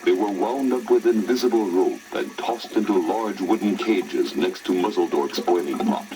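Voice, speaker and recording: A man narrating a children's story in a dramatic, expressive, theatrical style. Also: low-pitched, normal speed, background noise. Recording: old story record, orchestral music behind.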